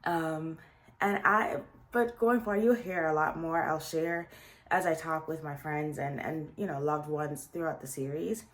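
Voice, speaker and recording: A woman's voice: deep voice, a little slow. A young adult woman from Guyana with a Guyanese accent, chatting casually as she introduces her series, often restarting sentences. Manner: chatty, with frequent restarts and fillers. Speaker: series host.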